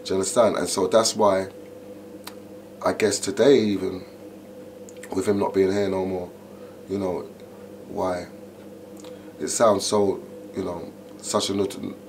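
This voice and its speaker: A middle-aged man, an MC telling stories in an interview, animated and full of "you know". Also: deep voice, a little slow, pauses often. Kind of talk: interview, casual storytelling. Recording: noticeable background noise.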